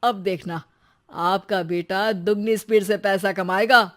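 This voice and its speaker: A woman voicing a cheerful young husband and son in an animated cartoon. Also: medium pitch, measured pace, lightly acted. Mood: excited.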